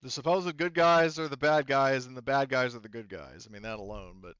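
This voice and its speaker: Male game master, smooth and informal, halting as he instructs; close, dry, slightly muffled sound. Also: mid-range voice, a bit bright, no echo. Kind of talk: explaining tabletop game rules, casual.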